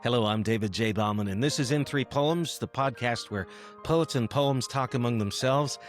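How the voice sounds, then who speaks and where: A man's voice: medium pitch, normal speed, faint music behind. A man hosting a podcast in a casual, chatty, rambling and lightly self-deprecating way. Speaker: podcast host. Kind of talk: casual, chatty interview talk.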